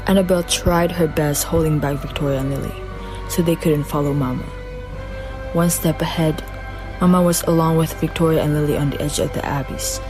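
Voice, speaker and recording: A woman reading the narration of a student radio drama carefully, with some slips. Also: deep voice. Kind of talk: read-aloud narration. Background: music.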